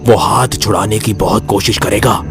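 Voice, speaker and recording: A man's voice: lowish voice, steady tone, normal speed, vocal fry, loud music behind the voice. A man playing a grave tantric holy man in a horror story, giving solemn instructions and warnings.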